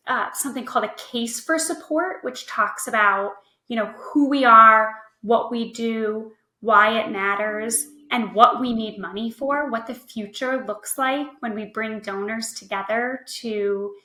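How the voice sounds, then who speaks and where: A woman's voice: on the high side, measured pace, bright, forceful tone. A woman guest on a podcast, explaining things conversationally and often checking in with the listener. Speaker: podcast guest, nonprofit communications expert. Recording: roomy, echoey voice track.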